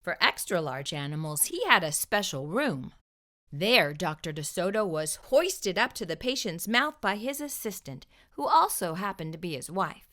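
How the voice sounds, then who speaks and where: A woman hosting a children's storytime, reading a picture book aloud in an expressive narrating style. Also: lowish voice, moderate pace. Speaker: children's storytime host and reader.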